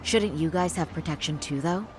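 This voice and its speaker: A woman's voice: low voice, moderate pace, music in the background, close to an everyday voice. A woman playing a cool, composed lawyer in an English game dub, dry and understated.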